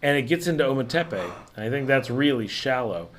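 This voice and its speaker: Middle-aged male livestream host, casual chat, calm unhurried delivery, mildly raspy. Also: medium pitch. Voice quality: raspy tonight. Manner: calm, unhurried, mellow talker.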